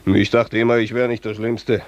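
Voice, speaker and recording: An actor in a 1950s German radio play, playing a jovial, teasing old friend, on a noisy old recording. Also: male voice, on the low side, flat delivery, moderate pace, highs cut off, close to an everyday voice.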